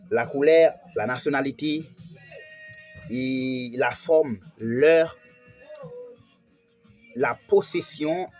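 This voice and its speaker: A man from Haiti delivers a televised Spanish lesson at an ordinary pitch, going over each point again and again and putting weight on the key words. Faint music plays behind him. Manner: repetitive, stresses key words. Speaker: Spanish teacher.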